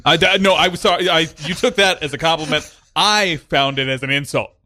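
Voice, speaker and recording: A man's voice: on the high side, fairly quick, bright, forceful tone, no room sound. A man improvising a wounded salesman in a comedy scene, sputtering and offended at his coworker. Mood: indignant.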